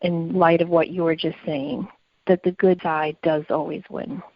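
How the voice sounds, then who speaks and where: Female podcast co-host, lowish register, earnest, reflective discussion, close dry mic with slightly muffled fidelity. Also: level tone, moderate pace, vocal fry, no echo.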